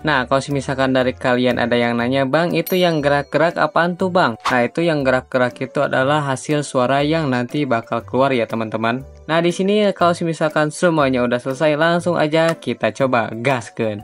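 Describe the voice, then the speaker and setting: A man from Indonesia, giving a casual, informal step-by-step software tutorial. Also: medium pitch, fairly quick, hardly any pauses. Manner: informal, repetitive step-by-step instructing. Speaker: tutorial host. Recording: music behind the voice. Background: background music.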